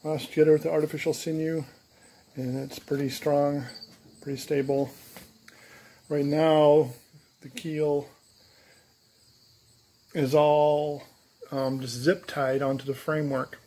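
A man filming himself in his home garage, explaining a canoe build in a casual, relaxed way with plenty of ums and uhs. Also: on the high side, slow pace, long pauses. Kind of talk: casual, unscripted workshop vlog. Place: home garage and driveway, outdoors at times.

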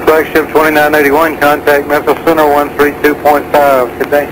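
A man's voice: on the high side, completely flat delivery, moderate pace, background noise. A man working as an approach controller, giving clipped, businesslike instructions over aviation radio. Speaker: air traffic approach controller. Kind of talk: air traffic radio instructions.

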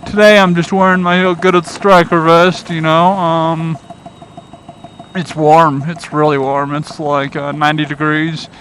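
A man's voice: fairly high pitch, little pitch movement, unhurried, a bit bright, poor audio. Laid-back rambling man riding in traffic. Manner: chatty, laid-back, lots of "uh" and "you know". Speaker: motovlogger. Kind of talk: rambling casual vlog while riding. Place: outdoors on a scooter in city traffic. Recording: wind and traffic noise. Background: road and engine noise.

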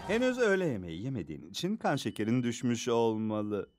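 A man's voice: medium pitch, very animated, fairly quick, noisy background, lightly acted. A man voicing a doting, oblivious scientist father, genial and theatrical, in a cartoon dub. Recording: music behind the voice.

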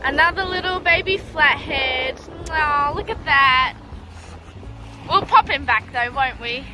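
A young woman with an Australian accent chats and giggles to a phone camera at arm's length, outdoors on a windy jetty. Her voice sits high and her delivery is unhurried. Loud music plays under the recording. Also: low-quality recording. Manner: chatty and giggly, talks to the camera. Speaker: vlogger. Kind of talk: casual vlog narration. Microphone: phone held at arm's length.

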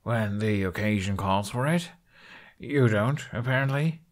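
A man's voice: lowish voice, animated, unhurried. A man voicing a wry, casual young man who half-jokes, in an audiobook.

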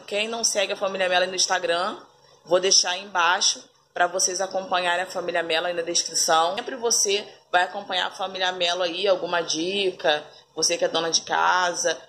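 Woman in her thirties from Brazil, chatty home vlogger, mid pitch, noisy phone recording. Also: fairly bright tone. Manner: chatty, keeps calling viewers "família". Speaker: family vlogger. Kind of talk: casual home vlog narration. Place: home kitchen and dining room.

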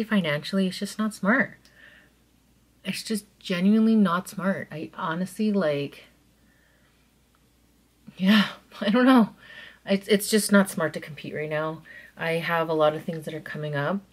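A woman in her thirties chats to a camera close in front of her as she does her makeup in a small bedroom at home. She talks eagerly and keeps drifting into soft, quiet asides. Her voice sits a little low for a woman. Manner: chatty and excitable, trailing into soft asides. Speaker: vlogger. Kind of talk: casual chat while doing makeup.

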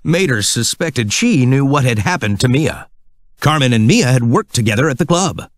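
Man for children's storybook narration: scripted, highly expressive pitch, close dry sound. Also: moderate pace, no room sound.

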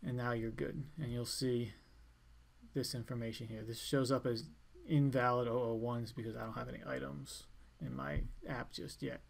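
A man giving an informal step-by-step software tutorial in a plain, instructional way. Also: mid-range voice, fairly even intonation, normal speed, gravelly. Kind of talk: step-by-step screencast walkthrough, informal.

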